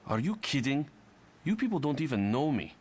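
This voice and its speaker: An actor playing a bitter young Russian mutant who is fed up with heroics, defiant and argumentative, in a motion comic. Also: male voice, on the high side, big pitch swings, moderate pace, a little mellow, slight background noise.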